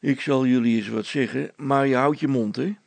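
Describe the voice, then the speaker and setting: A man acting out a self-important village constable from a storybook, with a gravelly, middle-pitched voice. He is bossy yet confiding, stumbling over his words, in a very dry close recording. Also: normal speed, dry recording. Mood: secretive.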